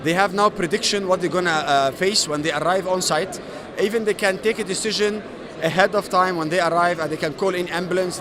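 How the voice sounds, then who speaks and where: A middle-aged man from Egypt, a solution architect, answering questions on a noisy exhibition floor and explaining at length with many "uh" fillers. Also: high-pitched. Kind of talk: trade show interview. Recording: noisy trade show floor.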